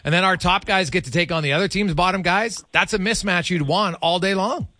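Bright-voiced man talking hockey. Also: high-pitched, fairly quick, dry recording, studio quality. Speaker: sports talk show host. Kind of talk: hockey analysis chat.

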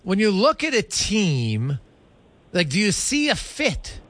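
A man's voice: high-pitched, huge pitch swings, slow pace, fairly bright tone, dry recording. A man hosting a sports radio interview, conversational and opinionated, putting his views into his questions.

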